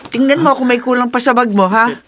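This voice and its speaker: A man playing a worried guardian in a Filipino radio drama, anxious and brisk in manner. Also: very high voice, a bit bright, slight background noise. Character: worried guardian relative packing Romel's clothes.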